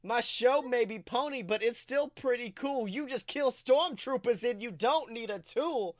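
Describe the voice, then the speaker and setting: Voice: medium pitch, a little slow, no room sound, clean studio sound, voice pushed up, close to an everyday voice. A podcaster voicing a feisty young cartoon pony girl who raps sassy insults in rhythm. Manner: rhythmic rap delivery, sassy.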